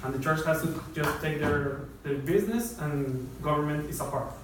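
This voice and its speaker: A man's voice: on the high side, moderate pace, noisy background. Mexican man lecturing in an echoey hall. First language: Spanish. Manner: hesitant, restarts phrases. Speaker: guest speaker. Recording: echoey hall, far from mic.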